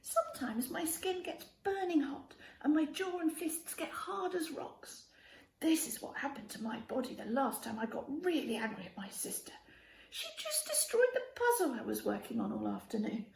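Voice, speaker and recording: A woman reading a children's story aloud in an expressive way, speaking directly to young listeners and prompting them. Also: high-pitched, moderate pace, vocal fry. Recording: roomy echo with faint background noise.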